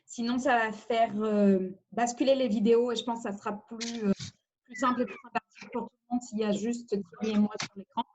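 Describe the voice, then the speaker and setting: A woman's voice: on the high side, steady tone, brisk, a little mellow, slight background noise, low-quality recording. A woman from France, an immigration lawyer, giving a webinar presentation over a video call, explaining carefully with frequent hesitations.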